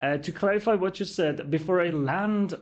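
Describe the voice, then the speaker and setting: A man from Iran talks about his homeland in English with a Persian accent. His voice sits a little high, and he explains things slowly and patiently, often saying "definitely" as a guest on a podcast. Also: dull audio. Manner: measured, explanatory, frequent "definitely" and "actually". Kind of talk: podcast interview, explaining culture.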